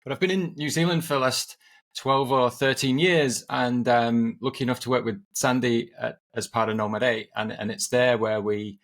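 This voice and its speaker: A man's voice: medium pitch, fairly even intonation, moderate pace. A middle-aged man from England, a podcast guest talking in a friendly way and often repeating words as he restarts. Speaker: guest, agile coach and author. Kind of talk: casual podcast conversation.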